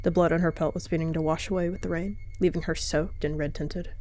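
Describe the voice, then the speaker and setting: A young woman narrating a cat roleplay story in a soft, earnest storytelling manner. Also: sits low, little pitch movement, fast talker, a little hiss or hum.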